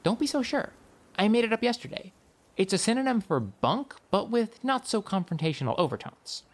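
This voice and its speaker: A woman's voice: mid-range voice, expressive, a little fast, pitched above the performer's own voice, natural voice. A woman voicing a quirky, imaginative young niece with dry wit and playful, inventive banter in an audiobook story. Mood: playfully defiant.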